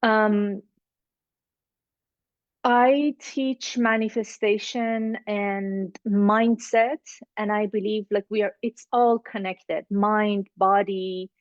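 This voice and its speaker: A woman's voice: mid-range voice, fairly even intonation, extremely slow, dry recording. Middle Eastern-accented woman, second-language English, motivational coach on a video call; dry, dull audio. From Middle East. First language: not English. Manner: earnest, motivational, repeats for emphasis. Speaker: mindset coach. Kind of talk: online networking circle pitch.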